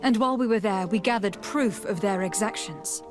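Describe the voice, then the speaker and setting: Woman playing noble female envoy, formal, measured, commanding, video game voice, medium pitch. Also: over music, lightly acted. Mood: formal, diplomatic. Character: noble female envoy, composed young leader giving orders. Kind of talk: scripted video game voice acting.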